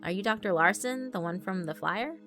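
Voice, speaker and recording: A woman's voice: medium pitch, expressive, fairly quick, close to an everyday voice. A woman voicing an awkward, sardonic young adult in a narrated story, hesitant, casual and dry. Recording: background music under the voice.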